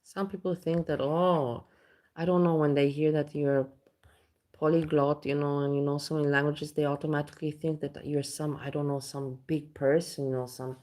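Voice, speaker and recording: Low-voiced Austrian woman, rambling candid vlog chat. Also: level tone, normal speed, dark tone. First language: German. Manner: rambling, candid, swears casually. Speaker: vlogger. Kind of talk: casual chat.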